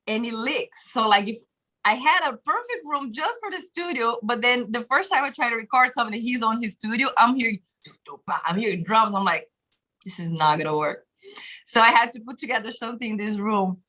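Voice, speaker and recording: Brazilian-accented woman in her thirties, guest on a video call, bright lively tone, chatty with lots of fillers and laughs. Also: fairly high pitch. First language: Portuguese. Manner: animated, laughs often, many fillers. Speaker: guest musician. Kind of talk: casual interview chat. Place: bedroom home studio, indoors. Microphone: webcam video call.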